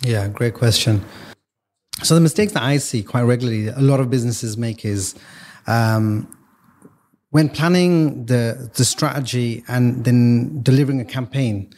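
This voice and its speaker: Male podcast guest, mid-pitch voice, talks through business video strategy casually, stumbles and repeats words as he goes. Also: a little mellow. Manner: explanatory, many restarts and repeated words. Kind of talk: casual expert explanation.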